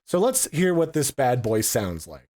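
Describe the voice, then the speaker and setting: Male tech reviewer, medium pitch, informal and explanatory, casual unboxing narration. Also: very animated, a little slow, mellow.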